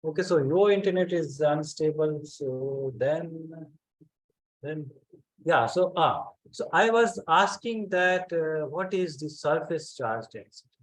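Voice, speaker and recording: Guy teaching physics online, kinda high voice, super slow and halting, keeps asking students if they get it. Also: lively intonation, rough recording. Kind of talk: online class lecture.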